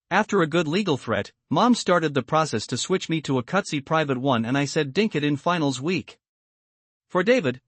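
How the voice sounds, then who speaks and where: A man narrating an online post as a story in a steady, even read-aloud manner. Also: a little high, no echo.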